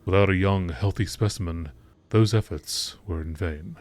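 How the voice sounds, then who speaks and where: Male narrator, low voice, scripted nature documentary read, formal and measured. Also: darkish tone, a little hiss or hum. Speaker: narrator reading game lore entries.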